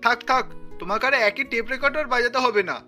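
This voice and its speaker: A woman's voice: a little high, a little fast, sharp tone, faint music behind. A woman voicing an irritable, dismissive office-going husband in a cartoon, curt and scolding. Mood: irritated. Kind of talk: cartoon family drama voice acting.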